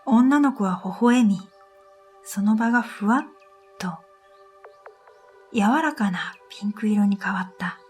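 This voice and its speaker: A woman narrating a scripted first-person radio drama in a gentle, unhurried way, with breathy phrase endings. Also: mid-range voice. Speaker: narrator and storyteller. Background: new-age music.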